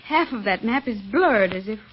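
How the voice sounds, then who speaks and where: A woman's voice: a little high, lively intonation, normal speed, close to an everyday voice. A woman playing an anxious, earnest young lady in a 1930s radio melodrama, fretful and carefully spoken. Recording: muffled old 1930s radio recording.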